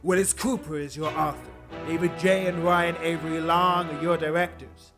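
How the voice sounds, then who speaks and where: A man's voice: on the high side, flat delivery, moderate pace, over music, close to an everyday voice. A man as announcer, reading a scripted old-time radio introduction in a formal, ominous manner.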